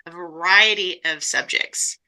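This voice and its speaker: A woman's voice: fairly low pitch, a little slow, sharp tone, low-quality recording. A woman hosting a podcast interview, asking questions in a conversational way. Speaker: podcast host.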